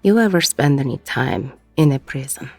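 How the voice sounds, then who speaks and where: A woman playing a weary, regretful ex-convict bar owner in an intimate audio roleplay, with a husky, gravelly voice and a reflective delivery full of pauses. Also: extremely low pitch, animated, darkish tone, no room sound, soft background music, heavily acted. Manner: slow, reflective, pausing mid-sentence.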